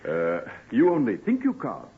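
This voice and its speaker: An actor playing an earnest, formal gentleman doctor in old-time radio drama. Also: medium pitch, wildly swooping pitch, moderate pace. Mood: gently coaxing. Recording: thin, phone-like old radio recording.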